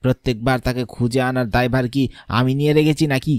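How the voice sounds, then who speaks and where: A man's voice: mid-range voice, steady tone, a little fast, no room sound, natural voice. A man voicing a greedy, scornful younger-brother villain in a melodramatic cartoon, sneering and bossy, over music.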